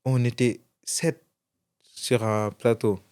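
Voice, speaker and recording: A man's voice: medium pitch, fairly even intonation, takes it slowly, a little mellow, clear, resonant tone. A young man from France, a guest in an interview, telling his story casually with many restarts. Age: young adult.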